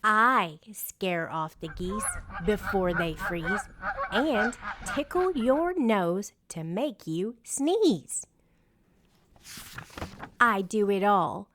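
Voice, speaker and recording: A woman's voice: medium pitch, expressive, very slow. A woman voicing an exasperated, proud female autumn wind in a storybook, emphatic and theatrical. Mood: proud, forceful. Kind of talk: children's picture book read-aloud.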